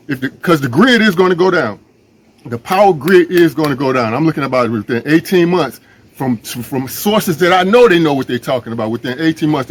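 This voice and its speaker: American man urging viewers, noisy recording. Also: on the high side. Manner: earnest, urgent, repeats himself for emphasis. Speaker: emergency preparedness and ham radio organizer. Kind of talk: informal community video address. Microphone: phone.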